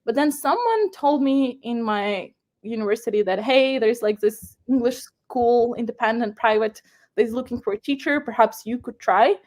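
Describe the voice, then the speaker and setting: Young ukrainian woman, kinda high voice, rambling with lots of ums and restarts, laughs a lot. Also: normal speed. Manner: animated, many restarts and fillers, laughs often. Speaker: guest. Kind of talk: casual interview storytelling.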